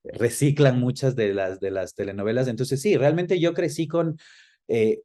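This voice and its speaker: A middle-aged man with an Ecuadorian accent, reminiscing conversationally as an interview guest and pausing to search for words. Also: mid-range voice, mellow. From Quito, Ecuador.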